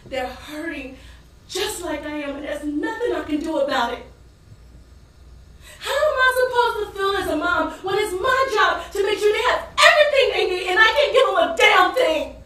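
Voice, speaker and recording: Woman acting a high-voiced, weary struggling wife, echoing stage. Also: animated, bright, forceful tone, far from the mic, full character voice. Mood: hurt, ashamed, then anguished. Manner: emotive, dramatic, with long pauses and sudden swells. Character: weary, embittered working-class wife and struggling mother. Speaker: stage actor. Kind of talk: theatrical stage monologue. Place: indoor stage performance space. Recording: roomy echoing theater from afar, faint noise.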